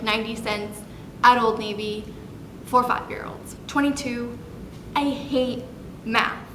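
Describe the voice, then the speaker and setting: A woman in her twenties chatting casually on a vlog, bubbly, with lots of fillers and self-corrections. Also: a little high. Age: 20s. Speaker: vlogger. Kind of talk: casual chat listing facts. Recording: echoey room with background noise.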